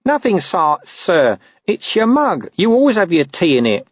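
Man doing a high, flustered, wailing corporal. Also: very animated, moderate pace, dry recording, lo-fi sound. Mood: nervous. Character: anxious, placating, put-upon male police corporal.

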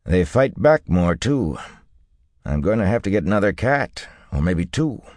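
A man's voice: sits low, very animated, unhurried, darkish tone, no room sound, clean studio sound, voice pushed up. A man voicing a wiry, shrewd stable-keeper in an audiobook, with a deferential, bland salesman's patter. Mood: grumbling. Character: wiry, leathery, shrewd male stable-keeper selling horses. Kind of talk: audiobook character dialogue.

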